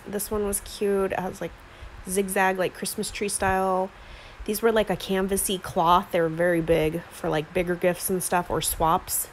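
A woman's voice: mid-range voice, a little hiss or hum, poor audio. A woman chatting casually while filming herself browsing the aisles of a store, with lots of filler words. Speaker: craft vlogger. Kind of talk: casual shop-with-me narration. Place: inside a store, walking the aisles. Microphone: handheld phone camera.

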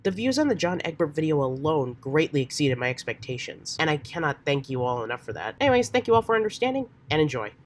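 A woman's voice: sits low, very animated, quick pace, hardly any pauses. A woman getting over a cold, speaking casually to her channel's audience between takes. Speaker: voice actor and channel creator. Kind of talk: casual announcement and off-script asides. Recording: clear background noise.

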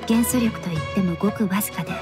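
A woman playing a composed, polite young perfumer in a Japanese game dub, formal, analytical and measured in manner. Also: medium pitch, close to an everyday voice. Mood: clinical, measured. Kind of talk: Japanese anime game dubbing. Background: background music.

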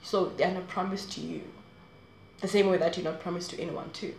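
A woman's voice: lowish voice, steady tone, a little fast, creaky, a little mellow, reverberant, a little hiss or hum. A woman in her twenties talking straight to camera in a casual, rambling advice vlog, laughing often. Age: 20s. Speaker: vlogger. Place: small room indoors.

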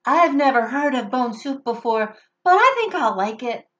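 Small eager werewolf kid, high and keen, read by a woman. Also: big pitch swings, normal speed, a bit bright, muffled recording. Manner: eager, tattling. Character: eager little werewolf child.

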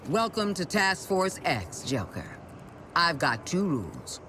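Slow-talking commanding boss. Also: male voice, fairly high pitch, very animated, bright, forceful tone, full character voice. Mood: stern. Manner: clipped, commanding. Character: commanding, coldly authoritative task force boss. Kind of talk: video game character acting. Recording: faint ambient noise behind.

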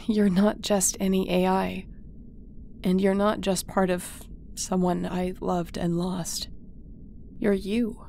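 A woman playing a caring, loyal spaceship captain in a sci-fi audio roleplay, creaky and conversational, with a tender, reassuring manner. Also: mid-range voice, level tone, slow pace, dark tone, slight background noise. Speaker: voice actor.

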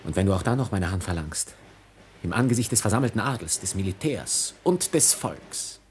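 An actor playing a scornful, defiant young nobleman in a 1950s German radio drama, intense and hushed. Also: sits low, expressive, moderate pace, slight background noise. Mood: scornful resolve. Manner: intense, half-whispered.

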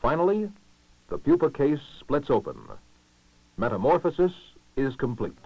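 A man reading scripted narration for a 1960s educational documentary, formal and measured, with clear enunciation. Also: on the high side, expressive, no echo. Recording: muffled lo-fi 1960s film soundtrack.

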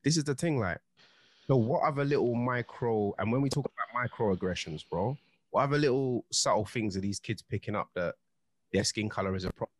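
A man's voice: medium pitch, fairly quick. A man, co-hosting a podcast in casual chat, talking earnestly with lots of "you know". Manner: earnest, heated, leans on filler "you know". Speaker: podcast co-host. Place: home studio, indoors. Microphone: studio mic, headphones.

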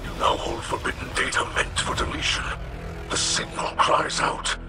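A man voicing a solemn alien mystic in a video game, with a breathy, whispery voice and formal, deliberate, grave delivery. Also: over loud music, close to an everyday voice. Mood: solemn, compassionate.

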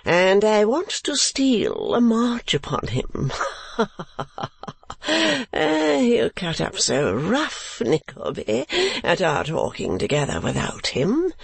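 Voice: medium pitch, wildly swooping pitch, slow pace, creaky, slightly rough, no echo, pitched above the performer's own voice, full character voice. A narrator voicing a foolish, eager young English lord in a storybook, with an affected, wheedling drawl. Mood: conspiratorial, eager. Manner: drawling, affected, hushed and pleading.

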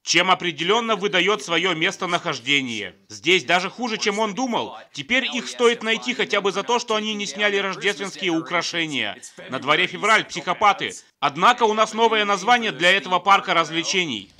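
A man's voice: sits high, animated, brisk, sharp tone. A man narrating scripted comedic film commentary in a sardonic, joking manner.

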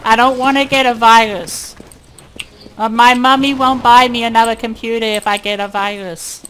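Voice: mid-range voice, fairly even intonation, moderate pace, close to an everyday voice. Someone voicing a naive, needy little child in an online game chat, pleading and hesitant in a childish way. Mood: naive, polite. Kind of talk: in-character prank chat during online shooter game. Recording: noisy game voice chat with clicks.

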